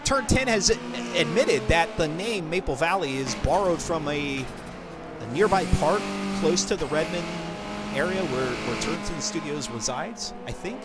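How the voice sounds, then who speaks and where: Chatty man with a higher voice. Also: lively intonation, a little slow, over loud music. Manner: chatty, thanks viewers, rambling asides. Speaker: streamer and race host. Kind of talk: casual live-stream chat. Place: home setup at a computer.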